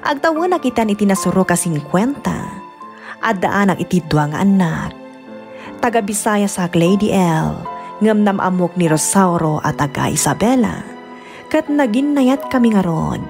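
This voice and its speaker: A woman playing a letter writer near fifty, narrating her life in a measured, reflective Filipino-accented radio drama voice. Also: medium pitch, lively intonation, gravelly, a little mellow, faint music behind.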